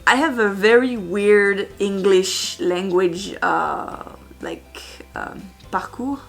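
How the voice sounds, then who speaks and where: A woman from France with a French accent, chatting casually as a vlogger, bubbly and halting with fillers. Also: mid-range voice, creaky, a bit bright, faint music behind. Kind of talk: casual chat.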